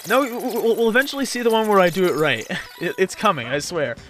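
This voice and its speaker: A man's voice: high voice, very animated, quick pace, fairly bright tone, faint music behind. A young man giving casual, rambling gameplay commentary with frequent stammers as he explains. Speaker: gamer, let's play commentator.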